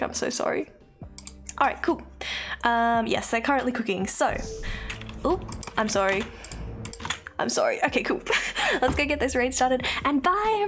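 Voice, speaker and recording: A young Australian woman streaming from her bedroom, chatting casually with viewers, giggling often and apologizing a lot. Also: a little high. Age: young adult. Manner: chatty, giggly, apologetic, reads chat aloud. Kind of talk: casual chat with live chat viewers. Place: bedroom at home, indoors.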